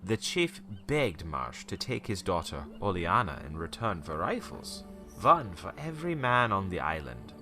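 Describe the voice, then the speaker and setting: An actor playing a haunted, bitter old small-town shopkeeper in a radio play, telling an ominous tale haltingly with theatrical rhetorical questions. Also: male voice, low-pitched, very animated, over music, full character voice. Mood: ominous, grave. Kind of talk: dramatic radio play monologue.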